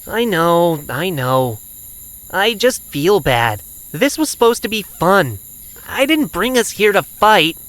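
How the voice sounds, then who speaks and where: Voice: mid-range voice, big pitch swings, takes it slowly, a bit bright, poor audio. An actor playing a caring, cocky young male hedgehog hero in an audio drama. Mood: worried. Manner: earnest, cocky.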